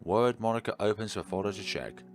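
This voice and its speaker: A man reading a visual novel aloud in an expressive, dramatic way, with casual commentary of his own. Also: lowish voice, fast talker, faint music behind. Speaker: game playthrough narrator.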